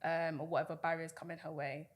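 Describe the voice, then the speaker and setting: A woman's voice: deep voice, level tone, quick pace. A woman in her twenties, originally from Guyana, chatting as a podcast guest, candid and a little shy, often breaking into giggles. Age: 20s. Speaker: guest, fitness client. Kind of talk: casual interview chat.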